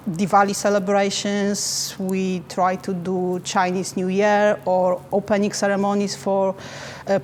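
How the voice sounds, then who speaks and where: A woman with a Polish accent answers interview questions in a careful, halting way. Her voice stays level and even, with little rise or fall. A faint hum of background noise sits under her speech. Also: medium pitch. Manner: careful, halting, non-native phrasing. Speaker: finance manager.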